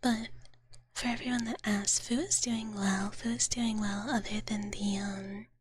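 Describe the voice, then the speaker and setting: A woman whispering softly and breathily in a gentle, doting big-sister manner, chatting as an ASMR livestreamer close to the microphone and often repeating her words. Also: medium pitch, steady tone, measured pace, slightly rough. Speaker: ASMR streamer. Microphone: close microphone, dry sound.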